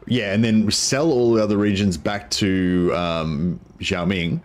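A man, a croaky voice and a headset, chatting casually while streaming a strategy game, rambling with many ums. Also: low voice, fairly even intonation, unhurried, a little mellow. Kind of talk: casual gameplay commentary.

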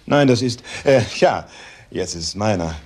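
An actor playing a guarded, evasive male ex-convict in a crime drama, with a husky voice and hesitant, curt answers. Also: on the low side, animated, moderate pace, darkish tone.

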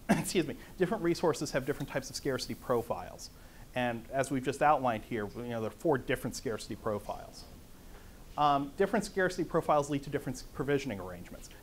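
A middle-aged man with a conversational, lively manner, lecturing to a conference audience in a meeting room. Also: medium pitch, slight background noise. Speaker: conference speaker. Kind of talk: lecture on economics.

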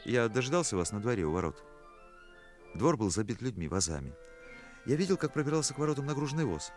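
Actor as young male musician, mid-pitched, animated, hesitant, polite, fastidious, radio drama. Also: soft background music, close to an everyday voice. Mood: disgusted. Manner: hesitant, polite, easily indignant. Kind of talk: radio play acting.

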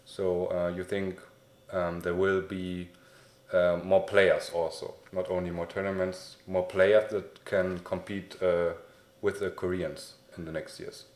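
A man's voice: low voice, little pitch movement, measured pace, darkish tone. A young man with a German accent interviewing a guest in English, friendly and hesitant with many fillers. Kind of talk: casual interview. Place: small indoor room, sitting on a couch. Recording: echoey room.

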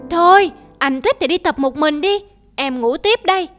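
A woman's voice: high voice, animated, moderate pace. A woman voicing a lazy, cocky little antelope, childish and sulky, in a cartoon. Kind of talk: cartoon voice acting. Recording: loud music under the voice.

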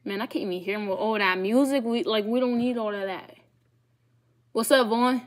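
Young woman streamer, blunt teasing slang-heavy banter, slightly high voice, small bedroom. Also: normal speed. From Ohio. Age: 20s. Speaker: streamer chatting with strangers on video calls. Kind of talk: casual chat.

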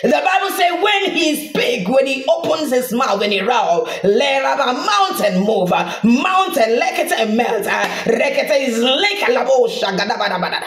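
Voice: medium pitch, sing-song, unhurried, hardly any pauses, a bit bright. A preacher delivering a fervent, declamatory charismatic prayer sermon, repeating phrases and breaking into speaking in tongues. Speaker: preacher leading an online prayer broadcast. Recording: echoey room sound.

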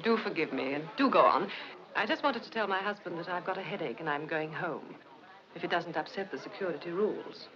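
An actress playing a bored, bitterly sarcastic scientist's wife in 1950s British film style, with a mock-sweet manner. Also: female voice, on the low side, expressive, a little fast, a bit bright, slight background noise. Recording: muffled old film soundtrack, party chatter.

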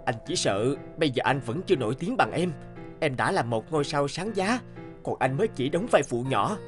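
A man voicing a young actor in love in a cartoon dub, earnest and expressive. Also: fairly high pitch, moderate pace, over music. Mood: worried. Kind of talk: cartoon dubbing.

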